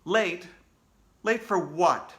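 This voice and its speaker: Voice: mid-range voice, very slow, fairly bright tone. A reader voicing a frightened schoolboy in a storybook read-aloud, pleading and protesting. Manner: panicky, pleading. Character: frightened schoolboy telling his own story.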